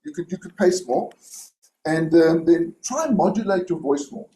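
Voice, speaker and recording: A man with a loud booming voice, giving rambling spoken feedback on a speech at a club meeting. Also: expressive, normal speed. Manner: rambling, many restarts and fillers. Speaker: speech evaluator. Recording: echoey room with faint hiss.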